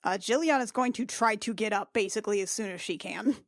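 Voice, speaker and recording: A woman's voice: medium pitch, fairly quick, dry recording, clean studio sound. A woman playing a tabletop roleplaying game, chatting casually and saying what her character does.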